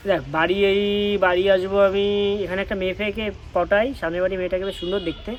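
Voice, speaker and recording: A young man talks extremely fast in a casual phone chat. He teases and presses, circling back to the same question. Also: high voice, level tone, poor audio. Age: young adult. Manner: teasing, insistent, repeats questions. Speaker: prank video creator.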